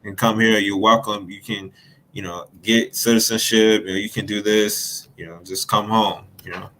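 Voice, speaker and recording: Low, bright-toned male host. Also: steady tone, moderate pace, low-quality recording. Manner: affirming, with many interjected agreements. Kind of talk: casual conversational interview.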